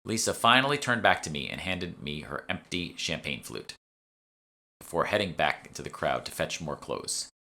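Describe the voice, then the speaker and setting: A man's voice: sits low, animated, moderate pace, creaky, bright, forceful tone. A man reading scripted narration from a fantasy novel as its author. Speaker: author reading own audiobook.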